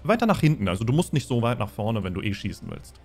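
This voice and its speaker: A man's voice: medium pitch, big pitch swings, fast, darkish tone, faint music behind. A man acting as game master, explaining rules and narrating a tabletop role-playing game in a conversational way.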